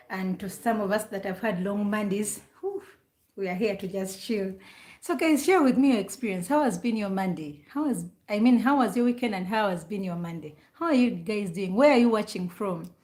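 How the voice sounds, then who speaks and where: A woman's voice: medium pitch, normal speed, a little mellow, roomy sound. A woman from Uganda chatting casually on a live stream, greeting viewers by name and repeating her questions.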